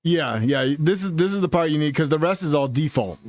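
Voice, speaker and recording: A man hosts a casual live chat about gear, his voice close and dry against the mic. He talks in a relaxed, thinking-aloud way, often backing up and restarting a sentence mid-thought. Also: a little high, brisk, no echo. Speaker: livestream host.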